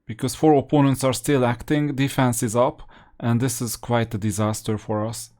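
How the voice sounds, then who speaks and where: A man giving casual gameplay commentary as a guide, explaining his choices and thinking aloud in a halting way. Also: medium pitch, level tone, a little mellow.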